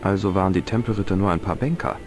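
An actor playing a polite, inquisitive young tourist hero in an adventure game, asking his questions clearly and courteously. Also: deep voice, quick pace. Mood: curious. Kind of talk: dubbed adventure game dialogue. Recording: music behind the voice. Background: game music.

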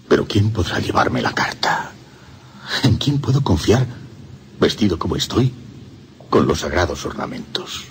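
Casting: anguished lovesick priest, Castilian Spanish, extremely low voice, swooping dramatic intonation, radio play. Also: male voice, a bit bright, a little hiss or hum, full character voice. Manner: brooding, dramatic, impassioned delivery. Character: tormented lovesick young priest confessing his torment. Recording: music under the voice. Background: music.

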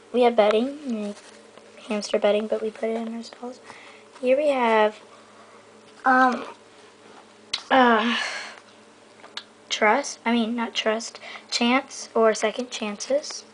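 A woman's voice: on the high side, steady tone, slow, long pauses, slight background noise, poor audio. Chatty young girl touring her toy stable. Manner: rambling, lots of um and so yeah. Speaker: vlogger giving a toy stable tour. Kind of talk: casual show-and-tell. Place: indoor bedroom floor. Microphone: handheld camera mic.

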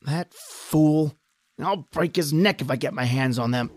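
A man's voice: on the high side, measured pace, close to an everyday voice. A man playing a tense husband who leads his wife underground in a radio drama, curt and snapping. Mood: angry.

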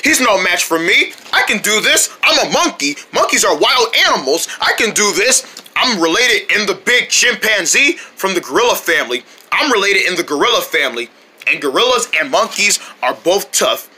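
A man's voice: high-pitched, sing-song, moderate pace, pushed and bright, a little hiss or hum, exaggerated voice. A man voicing a nervous, goofy monkey who blusters and repeats himself in an amateur cartoon skit. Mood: blustering. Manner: jittery, exclaiming, repeats himself. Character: nervous goofy monkey named Drone, blustering, reluctant fighter.

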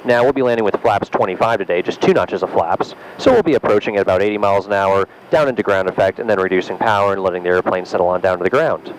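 A man in his thirties teaching in a small airplane cockpit, calmly talking through each step over a headset mic. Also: deep voice, fast talker, few pauses, slight background noise, poor audio. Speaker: flight instructor. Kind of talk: in-flight instruction. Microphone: aviation headset boom mic.

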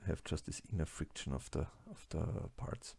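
A man with a German-speaking accent giving a slide lecture, halting with many fillers and restarts. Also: a bass voice, lively intonation, creaky, dark tone, slightly rough. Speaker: lecturer on plastics engineering.

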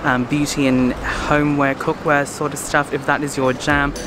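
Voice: medium pitch, level tone, moderate pace, over music. A young adult vlogger giving a chatty, rambling walking tour, filmed selfie-style at arm's length while strolling through streets and shopping centres. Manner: chatty, rambling, with fillers and asides. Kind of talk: casual vlog narration. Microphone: handheld selfie camera at arm's length.

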